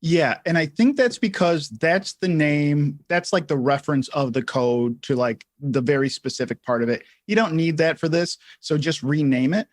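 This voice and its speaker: Man co-hosting a casual software tutorial, dry sound. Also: fairly high pitch, dry recording. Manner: informal and halting, thinking aloud. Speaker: livestream co-host and software instructor. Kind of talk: casual live tutorial talk.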